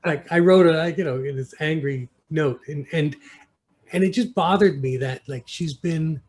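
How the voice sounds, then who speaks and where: A man from New York with an American accent, an interview guest telling stories casually and haltingly, sometimes switching between English and Mandarin. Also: fairly high pitch, a little mellow. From New York, United States. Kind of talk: casual conversational storytelling. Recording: clear background noise.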